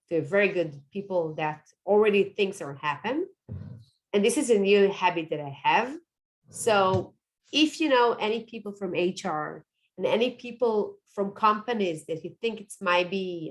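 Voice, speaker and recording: A woman, a Hebrew speaker, presents on forming habits in a coaching webinar. She talks earnestly, repeats words and checks in with her listeners as she goes, in a voice of middling pitch. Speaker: positive psychology coach.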